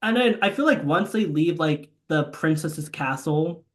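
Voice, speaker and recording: A young man co-hosting a casual movie podcast, recapping the plot with lots of swearing and excitement. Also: a little high, unhurried. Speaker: podcast co-host.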